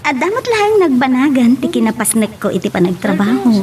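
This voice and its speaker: A woman's voice: a little high, sing-song, brisk, crowd noise. A woman with an Ilocano Filipino accent playing a friendly, coy young office worker in a radio love drama. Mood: friendly, bashful.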